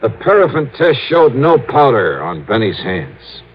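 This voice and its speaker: An actor playing a cool, probing, terse investigator in an old radio detective drama. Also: male voice, mid-range voice, big pitch swings, measured pace, husky, faint music behind. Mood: skeptical. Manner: terse, questioning. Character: cool, probing male insurance investigator, skeptical. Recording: muffled old radio recording.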